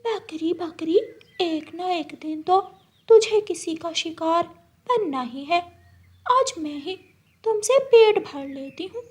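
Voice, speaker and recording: A woman voicing a sly, greedy female cartoon fox with a drawn-out, gloating manner. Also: very high-pitched, expressive, full character voice. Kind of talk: cartoon story dialogue.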